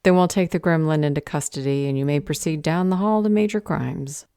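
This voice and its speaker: A woman playing a stern, bored council official in a fantasy audio drama, deadpan, clipped and sarcastic. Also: sits low, a little fast, clean tone, no echo, clean studio sound. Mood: bureaucratic.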